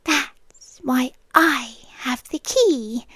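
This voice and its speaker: A high, intimate British female voice, a woman acting as an obsessive landlady girlfriend in ASMR roleplay. She speaks extremely slowly, sweet yet controlling, often sinking into a breathy whisper. The sound is dry and close. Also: sharp tone, no echo, exaggerated voice. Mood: needy, possessive. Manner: slow, deliberate, coaxing and commanding.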